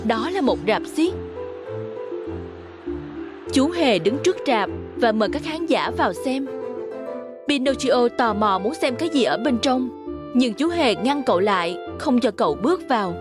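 A woman's voice: a little high, expressive, measured pace, long pauses, music in the background. A woman narrating a scripted fairy tale for children.

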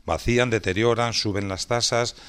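A man's voice: fairly low pitch, fairly even intonation, moderate pace, a bit bright, clear, resonant tone. A man, speaking as a panelist at a public debate in an explanatory activist style.

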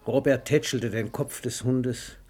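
A man's voice: medium pitch, a little slow, a little mellow, soft background music. A man narrating a literary radio play in a scripted storytelling style.

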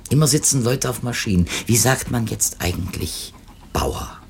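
An actor playing an earnest, reflective literary editor in a naturalistic radio play, with hesitant, dryly ironic turns. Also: male voice, medium pitch, expressive, moderate pace, creaky, darkish tone, slight background noise. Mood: musing, wry. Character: earnest, musing male publishing editor visiting an author.

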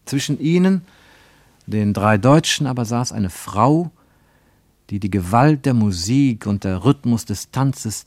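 A man reading scripted literary narration for radio, with measured, rhetorical and emphatic phrasing. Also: on the low side, vocal fry, a little mellow, dry recording.

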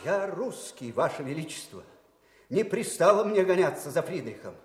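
An old, stubborn military commander in a radio play. His fairly high voice moves expressively with defiant emphasis, ringing in a reverberant hall. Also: big pitch swings, normal speed. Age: older. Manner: defiant, plain-spoken, emphatic. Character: proud, stubborn old Russian army commander. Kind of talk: theatrical historical radio drama acting.

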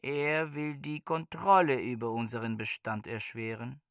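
An actor in a German radio play playing a fanatical, bookish scholar who speaks in solemn, pompous oratory. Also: male voice, mid-range voice, level tone, unhurried, a bit bright, no room sound, clean studio sound, natural voice. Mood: rousing, martial. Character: fanatical bookish male scholar haranguing his books like troops.

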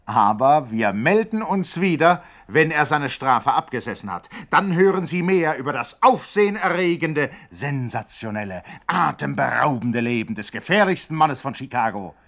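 An actor playing a wry, mock-sensational narrator of a 1960s German radio crime comedy, emphatic and dramatic. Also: male voice, medium pitch, animated, moderate pace, hardly any pauses, fairly bright tone. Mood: wry, grandiose.

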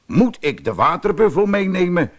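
A man's voice: high voice, moderate pace, voice pushed up. Een man die een slimme rijstboer in een sprookje speelt, onderdanig beleefd en listig. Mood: persuasive. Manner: deferential, polite, calmly cunning. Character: shrewd rice farmer, humbly courteous to a tiger. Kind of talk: storybook character voice.

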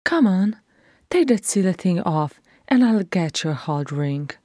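Woman doing a calm elder dwarf sister, gentle coaxing, dry close sound. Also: on the low side, measured pace, no echo, voice pushed up. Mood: soothing.

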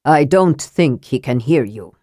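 A woman voicing a calm, mysterious old male dwarf with a mild, measured manner in a fantasy audiobook. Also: extremely low pitch, no room sound, clean studio sound, voice pushed down, heavily acted. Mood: calm, enigmatic.

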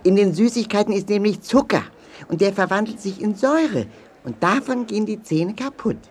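Eine Schauspielerin spricht einen freundlichen Zahndoktor in einem Kinderhörspiel, mit rauchiger Stimme, eifrig erklärend, betont und ermutigend. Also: female voice, medium pitch, normal speed, a little mellow, husky, lightly acted. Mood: eagerly instructive. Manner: emphatic, explanatory, encouraging. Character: friendly, instructive tooth-care doctor, eager teacher. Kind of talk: children's audio drama.

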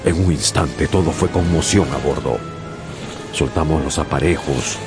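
A man narrating a sea adventure in a radio drama, telling it earnestly as his own memory. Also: low-pitched. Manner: earnest storytelling, recalling the past. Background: dramatic music.

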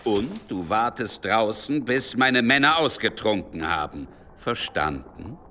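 An actor playing a curt, coarse, domineering leader of medieval riders in a radio drama. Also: male voice, lowish voice, lively intonation, a little slow, bright, forceful tone, slight background noise. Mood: cool, domineering. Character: gruff, commanding medieval leader of armed riders, menacing.

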